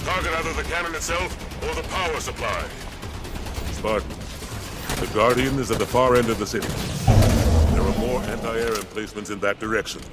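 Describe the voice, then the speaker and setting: An actor playing a proud alien warrior commander in a sci-fi game, grandiose, commanding and formal in manner. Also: male voice, mid-range voice, fairly quick, pauses often, natural voice. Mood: urgent, formal. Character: proud alien warrior commander, male, rallying his troops. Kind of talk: dramatic sci-fi game acting. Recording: loud battle noise over voice.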